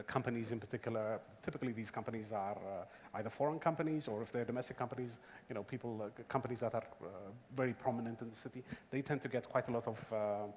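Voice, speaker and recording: A man on a discussion panel, speaking hesitantly and searching for his phrasing. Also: medium pitch. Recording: reverberant hall, faint background noise.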